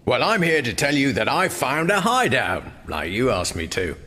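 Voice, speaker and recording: A man's voice: sing-song, normal speed, pushed and bright. An actor playing a cheeky, chatty male underworld informant in a video game, teasing and streetwise. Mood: helpful, boastful. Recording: long reverb, faint background noise and rumble.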